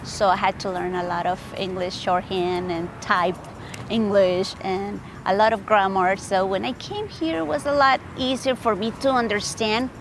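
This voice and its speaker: A woman's voice: mid-range voice, fairly even intonation, normal speed, noisy background. A woman from Honduras, a native Spanish speaker with a Honduran accent, giving a personal interview account.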